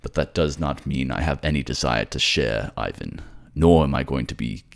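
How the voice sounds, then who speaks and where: A man playing a cold, proud, jealous king in a fantasy audio drama, speaking in a curt, brooding, halting way. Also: a bass voice, lively intonation, creaky, heavily acted. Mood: grudging.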